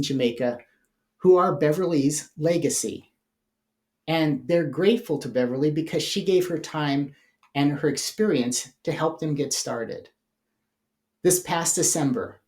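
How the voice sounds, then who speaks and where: The head of an organization introducing guests in a plain, warm speech. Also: medium pitch. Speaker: CEO and host of the organization.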